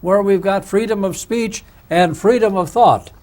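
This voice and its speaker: A man's voice: high-pitched, measured pace, a little mellow. A man hosting a public access TV interview show, welcoming his guest in a genial way. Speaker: host.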